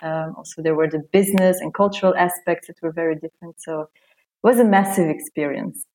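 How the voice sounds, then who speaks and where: A woman's voice: fairly low pitch, brisk, darkish tone, rough recording. A woman raised in Belgium, a guest on a podcast, talking in a friendly, chatty way with many fillers. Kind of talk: casual interview chat.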